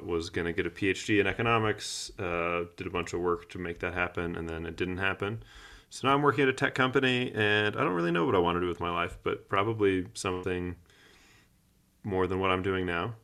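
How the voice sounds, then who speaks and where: A thirty-year-old man with a deep voice and a noticeable fry in it, co-hosting a podcast. His tone is bright and forward, and he talks in a casual, conversational way. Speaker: podcast co-host.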